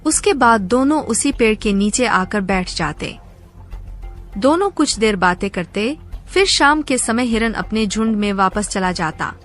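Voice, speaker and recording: A woman's voice: medium pitch, lively intonation, measured pace, a bit bright, faint music behind. A woman narrator reading a scripted animal fable for children.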